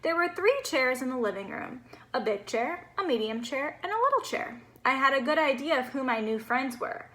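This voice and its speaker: Female reader as naive, cheerful boy snowman for a storybook: high-pitched, expressive, bubbly, innocent. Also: moderate pace. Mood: chatty, innocent. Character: cheerful, naive little male snowman telling his story.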